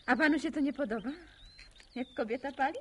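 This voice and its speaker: An actress playing a polite, composed village schoolteacher in a radio drama, speaking courteously with gentle, educated diction. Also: female voice, high voice, a little fast, close to an everyday voice. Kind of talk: naturalistic radio drama acting.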